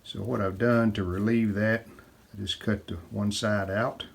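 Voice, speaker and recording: Slow, low-voiced man demonstrating repairs. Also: level tone, creaky, breathy edge. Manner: unhurried, plainspoken, pausing mid-sentence. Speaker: host of a restoration how-to video. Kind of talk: casual hands-on demonstration narration.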